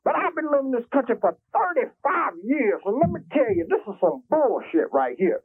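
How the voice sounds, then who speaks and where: A man's voice: extremely high pitch, very animated, brisk, husky. A man from Jamaica who calls in to a public access show and talks in a profane, boastful, rambling way. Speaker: caller. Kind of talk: crude phone-in rant. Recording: tinny phone line.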